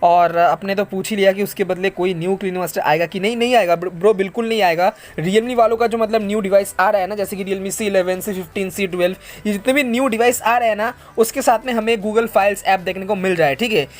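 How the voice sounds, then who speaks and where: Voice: medium pitch, fast talker, few pauses. An Indian tech channel host casually reads out and answers viewer questions, explaining things conversationally and mixing English tech terms into the talk. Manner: conversational, explanatory, addresses listeners as bro and friends.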